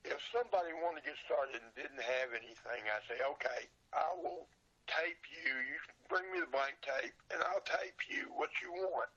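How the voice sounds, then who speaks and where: Husky older man, folksy and halting. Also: mid-range voice, lively intonation, sharp tone, dull audio. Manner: folksy, halting, repeats phrase openings. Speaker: podcast guest, old radio show collector and seller. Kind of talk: casual reminiscing interview.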